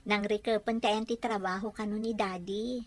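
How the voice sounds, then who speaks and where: A woman playing a polite, gentle young wife who speaks in a soothing, respectful way. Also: mid-range voice, steady tone, normal speed, close to an everyday voice.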